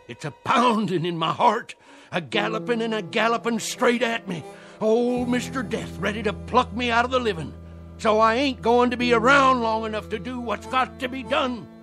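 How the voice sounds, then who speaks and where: High-voiced, fervent old Southern conjurer. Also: male voice, fairly bright tone. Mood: grave, foreboding. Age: older. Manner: folksy, theatrical, rustic dialect. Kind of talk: dramatic radio play acting.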